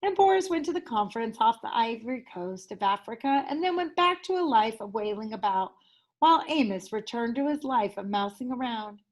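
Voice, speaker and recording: A woman's voice: a little high, animated, a little fast. A woman teacher reading a children's story aloud expressively, leaning dramatically on key words.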